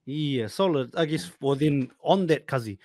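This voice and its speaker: Upbeat New Zealand podcast host. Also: male voice, medium pitch, moderate pace. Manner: upbeat, animated, calls guest "brother". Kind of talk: casual interview chat.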